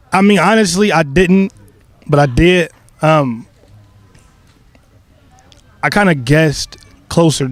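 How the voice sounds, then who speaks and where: A young man who created a stage play, answering interview questions in a loose, relaxed way with many fillers and restarts. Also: medium pitch, dry recording.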